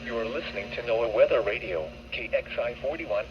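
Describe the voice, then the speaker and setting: Synthetic male weather-radio voice, hissy. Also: mid-range voice. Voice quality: even, synthetic text-to-speech delivery. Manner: flat, measured, word-by-word reading. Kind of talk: automated weather broadcast reading. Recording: dull, hissy radio receiver recording.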